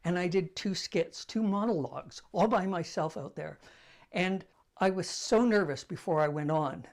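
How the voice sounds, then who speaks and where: An older man with a soft voice, hosting a casual talk to camera, telling stories in a conversational, self-deprecating way. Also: sits high, normal speed. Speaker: host.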